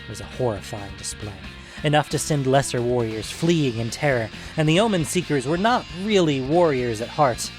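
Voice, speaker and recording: A man narrating a scripted fantasy story in a measured, suspenseful, dramatic audiobook manner. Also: medium pitch, animated, over music.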